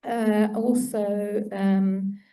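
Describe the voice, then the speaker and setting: A woman giving an informal group report at a conference, halting and searching for her words as she goes. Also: mid-range voice, flat delivery, mellow. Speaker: conference participant reporting back from a discussion group. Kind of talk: informal spoken summary to a plenary. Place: conference hall, live talk.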